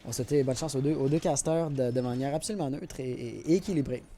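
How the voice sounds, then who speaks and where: A man's voice: medium pitch, quick pace, mellow. A man with a Quebec French accent doing live esports commentary, informal and colloquial, mixing in English gaming slang. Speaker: esports caster. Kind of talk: live match commentary with casual asides to chat. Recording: clear background noise with clicks and gunfire. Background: video game gunfire and clicks.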